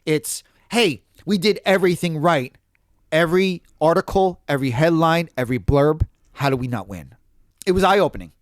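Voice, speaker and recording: A man's voice: on the high side, moderate pace, no echo, studio quality. A man co-hosting a podcast, talking casually and animatedly, often acting out quoted lines. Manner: animated, quotes people in acted asides. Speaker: podcast co-host.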